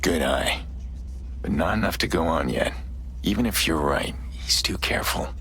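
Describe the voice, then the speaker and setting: An actor playing a gruff, weary middle-aged vigilante hacker in a video game, terse and guarded, with a gravelly, breathy voice. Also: male voice, expressive, normal speed, fairly bright tone, full character voice. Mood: focused. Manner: terse, low-key, brooding. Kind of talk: dramatic game cutscene acting. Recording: music behind the voice.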